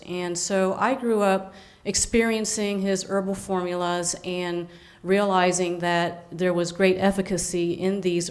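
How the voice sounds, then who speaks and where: Earnest middle-aged woman telling her story at a conference, lowish voice, lots of ums and restarts. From San Francisco, USA. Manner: earnest, with many fillers and restarts. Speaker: conference speaker. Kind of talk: personal testimony speech.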